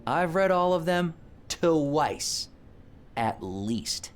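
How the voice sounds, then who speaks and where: A man's voice: fairly high pitch, sing-song, drawn-out words, full character voice. An actor playing an eager, proud young male engineer and rocket inventor in a video game, with a wry, casual manner. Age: young adult. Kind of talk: video game character dialogue.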